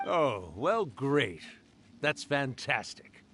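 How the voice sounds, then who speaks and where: An actor playing an ancient, playful and proud yokai spirit in a game, speaking in a theatrical, teasing way. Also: male voice, mid-range voice, big pitch swings, extremely slow, a bit bright, slight background noise, full character voice. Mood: fond, wistful. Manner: theatrical, teasing, drawling flourishes. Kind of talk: animated game voice acting.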